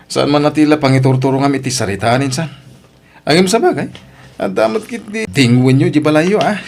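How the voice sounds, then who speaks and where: A man's voice: on the high side, fairly quick, gravelly, darkish tone. A man with an Ilocano accent voicing a boastful middle-aged father in an audio drama.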